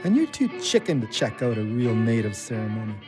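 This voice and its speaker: A man's voice: medium pitch, sing-song, a little fast, music in the background, close to an everyday voice. A man playing an earnest, plain-spoken ex-convict and loyal friend in a naturalistic audio drama. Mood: challenging.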